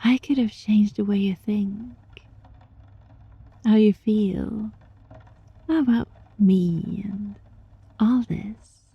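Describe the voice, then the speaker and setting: A woman voicing a sly, teasing, controlling yet gentle fox-spirit roommate in an ASMR roleplay, with a breathy voice that often drops into a whisper. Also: medium pitch, fairly even intonation, no echo, lo-fi sound, full character voice. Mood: reassuring, coaxing. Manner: slow, teasing, softly menacing. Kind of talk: ASMR roleplay, scripted monologue addressed to listener.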